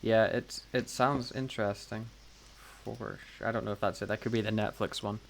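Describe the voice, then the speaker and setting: A man's voice: fairly low pitch, fairly even intonation, moderate pace, vocal fry, a little hiss or hum. A man co-hosting a podcast in casual banter, with wry, jokey asides. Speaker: podcast co-host.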